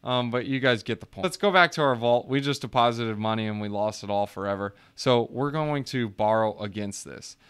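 A man's voice: mid-range voice, fairly bright tone, smooth. A man casually walking viewers through a screen share, joking and chatty, calling them guys. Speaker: crypto YouTuber.

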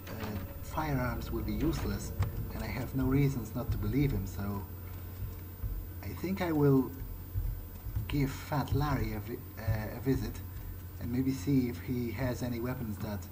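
Male playthrough narrator, in-character, medium pitch, hesitant thinking-aloud delivery, prominent music underneath. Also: a little slow, long pauses, rough recording. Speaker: gamer role-playing his character. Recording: loud game music behind voice. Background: game music.

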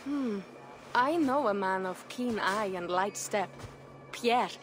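An actor playing a blunt, wry Viking jarl eager for a raid. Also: male voice, high-pitched, lively intonation, takes it slowly, a bit bright, faint music behind, heavily acted.

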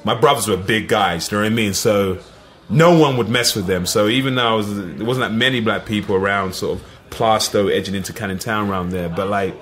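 A middle-aged man with an East London accent, telling lively stories as a podcast guest, full of "you know what I mean". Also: fairly low pitch, a little fast. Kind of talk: casual storytelling.

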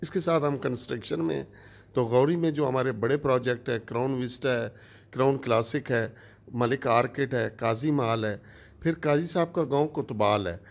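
Middle-aged man, gravelly, steady formal delivery on a handheld mic. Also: mid-range voice, normal speed. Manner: steady, listing items one by one. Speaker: company operations manager. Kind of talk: formal introductory speech. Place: conference room.

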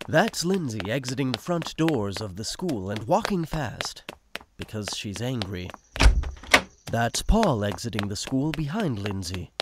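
A man's voice: medium pitch, sing-song, unhurried, very noisy. A man narrating a radio play in the present tense with a conversational storytelling manner.

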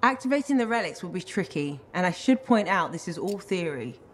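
An actress playing a level-headed, cautious analyst in a video game, measured and matter-of-fact. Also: female voice, fairly low pitch, sing-song, slight background noise. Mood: calm, cautious.

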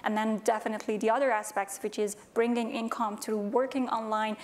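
A woman's voice: on the high side, flat delivery, brisk, darkish tone. A woman in her thirties from Afghanistan, answering on a conference panel in earnest, with many fillers, on a lapel mic. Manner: earnest, many fillers, non-native phrasing. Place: large conference stage.

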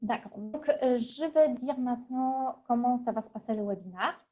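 A middle-aged woman from Poland with a Polish accent, presenting an informal webinar in a halting, conversational way. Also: fairly high pitch, a little mellow. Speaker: university international relations manager, webinar presenter. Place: small office, indoors. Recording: dull, lo-fi webcam audio.

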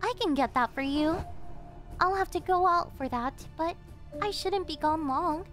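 Yandere girlfriend, very high voice, sugary and controlling, obsessive captor, ASMR roleplay over music. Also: a little slow, fairly bright tone. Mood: sweetly controlling. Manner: cloying, coaxing, with breathy giggles and gasps. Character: possessive, obsessive young yandere girlfriend, sweetly menacing captor.

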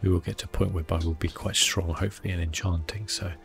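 A man giving relaxed ASMR commentary on a game, understated and hesitant as he thinks aloud close to the microphone. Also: sits low, quick pace, vocal fry, faint music behind. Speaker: gaming video host.

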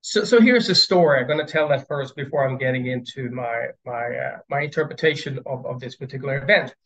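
A man in his fifties from Sweden giving an academic keynote over a video call, pausing often with fillers and repeated words. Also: medium pitch, a bit bright. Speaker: plenary speaker. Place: indoors at home, stairs behind. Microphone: video call webcam. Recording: dull lo-fi video call audio.

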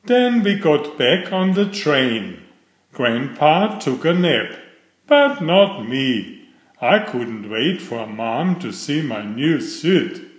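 A middle-aged man reading aloud to young children in a simple storytelling style, with clearly phrased delivery. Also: on the high side, sing-song, takes it slowly. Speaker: children's book reader. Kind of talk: read-aloud bedtime storytelling. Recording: roomy, echoey voice recording.

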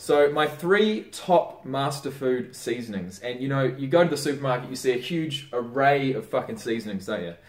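A young Australian man casually giving diet tips in a chatty, swearing, relaxed vlog manner. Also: mid-range voice, moderate pace, hardly any pauses. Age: young adult. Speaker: fitness vlogger. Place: indoor room by a window. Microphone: camera mic on desk. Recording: roomy, mic a bit distant.

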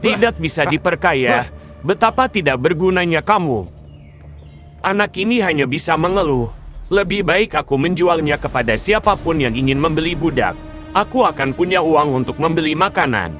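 A gluttonous, greedy king from a dubbed cartoon barks for food and sneers at his own daughter. The voice sits fairly high for a man. Faint music plays underneath. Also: lively intonation, moderate pace, fairly bright tone. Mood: scornful. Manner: demanding, scornful.

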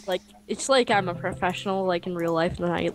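A woman's voice: fairly low pitch, fast talker. Rambling, joking young woman gamer. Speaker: gamer in a multiplayer session. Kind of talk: casual gaming chat.

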